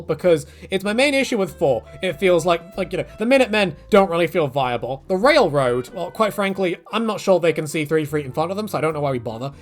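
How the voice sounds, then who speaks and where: A man casually answering viewer questions in a rambling, animated way, his pitch jumping up whenever he slips into quoted asides. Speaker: YouTube creator answering fan questions. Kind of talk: casual Q&A monologue.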